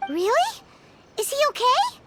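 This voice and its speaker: A woman voicing a small, excitable, worried childlike companion in a video game, with a squeaky voice. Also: measured pace, noisy background, heavily acted. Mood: curious, concerned.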